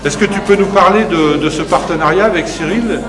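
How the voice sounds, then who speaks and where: A man's voice: high voice, brisk. A man interviewing guests at an exhibition opening in a polite, congratulating way. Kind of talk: friendly reportage interview. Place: crowded gallery cellar. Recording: echoey hall with a crowd behind.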